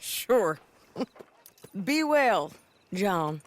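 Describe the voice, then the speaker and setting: An actress playing a hardened, wry frontier bounty hunter in a western video game, drawling with an American Southern accent. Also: female voice, mid-range voice, very animated, extremely slow. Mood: breezy.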